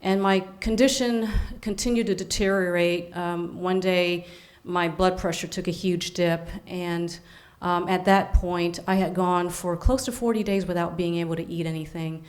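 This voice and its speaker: A middle-aged woman from San Francisco giving an earnest personal testimony at a conference, with frequent fillers and restarts. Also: lowish voice. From San Francisco, USA. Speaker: conference speaker.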